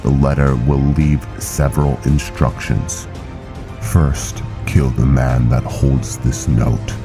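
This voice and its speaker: A man playing a stern, honor-bound vampire knight officer in a game roleplay, speaking in a formal, solemn, measured way. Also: a bass voice, little pitch movement, music in the background, exaggerated voice. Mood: solemn, calculating. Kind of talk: scripted roleplay narration and game dialogue.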